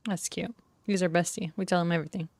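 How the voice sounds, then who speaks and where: A woman's voice: on the low side, steady tone, quick pace, a little mellow. A woman streamer giving casual, chatty commentary, reacting aloud to a game's story. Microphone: close streaming microphone.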